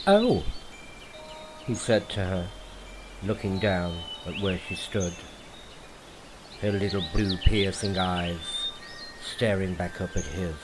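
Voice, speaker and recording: Male horror narrator, lowish voice, theatrical and unhurried, with deliberate pauses. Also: steady tone, noisy background. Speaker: story narrator. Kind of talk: dramatic scripted horror story narration. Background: music.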